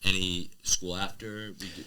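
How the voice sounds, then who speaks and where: Young man, teens, very low pitch, very sharp bright tone, casual podcast host. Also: level tone, moderate pace, clean tone, rough recording. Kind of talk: casual interviewing.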